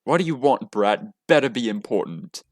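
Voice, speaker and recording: A man's voice: mid-range voice, expressive, moderate pace, no room sound, clean studio sound, soft background music, close to an everyday voice. A man voicing a huge, hot-tempered male teacher in a visual novel, hissing and indignant. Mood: irritated.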